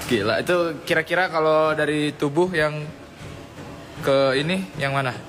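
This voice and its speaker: A man's voice: on the high side, moderate pace. Teasing man filming with a phone. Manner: playful teasing, laughs a lot. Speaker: vlogger filming the crew. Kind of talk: casual joking chat. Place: hotel gym and rooftop pool, outdoors. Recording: loud background noise.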